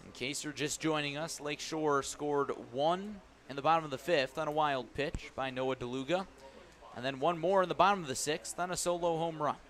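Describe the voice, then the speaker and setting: A man calling a baseball game live on radio as the play-by-play announcer, describing each pitch in detail. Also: moderate pace. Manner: descriptive, excited calls rising on big plays. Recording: clear background noise at ballpark. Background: ballpark ambience.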